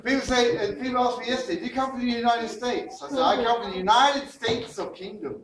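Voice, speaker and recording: An older man with a London accent, playfully teasing as he runs a lively science demonstration for schoolchildren. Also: extremely high pitch, quick pace, crowd noise. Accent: London English. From England. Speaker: science show presenter. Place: large school library room, indoors. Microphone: far camera. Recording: echoey room from far off, noisy.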